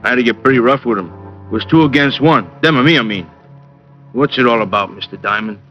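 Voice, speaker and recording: An actor playing a big, earnest, slow-witted, loyal wrestler in an old radio drama. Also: male voice, mid-range voice, lively intonation, over music, natural voice. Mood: concerned. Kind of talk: 1950s radio drama acting. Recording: muffled old radio broadcast.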